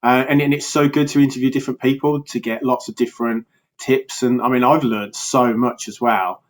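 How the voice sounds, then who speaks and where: A man from England with a Birmingham accent, chatting casually as a podcast guest over a remote call, with frequent restarts and easy laughter. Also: medium pitch. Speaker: podcast guest, health coach. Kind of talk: casual interview chat.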